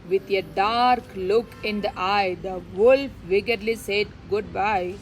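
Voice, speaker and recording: A woman's voice: mid-range voice, slow, poor audio. A woman narrating a children's story from a script for a puppet show.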